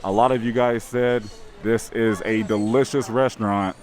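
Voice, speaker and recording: A man with a slightly raspy voice narrating an upbeat, chatty vlog outdoors at a theme park. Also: on the low side, fairly even intonation, normal speed. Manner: enthusiastic, chatty.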